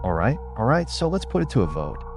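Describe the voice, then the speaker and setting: A man's voice: mid-range voice, very animated, brisk, gravelly. A man voicing a jokey, teasing male gamer in an audiobook, casual and bantering. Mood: amused, teasing. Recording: music behind the voice.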